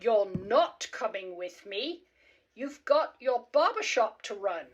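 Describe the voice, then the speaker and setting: Woman acting a high-pitched, brash zookeeper. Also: very animated, slow, lo-fi sound, noisy background. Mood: brash, confident. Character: brash, determined, reckless woman zookeeper smuggling a crocodile. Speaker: actor in a radio play. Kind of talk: radio play acting.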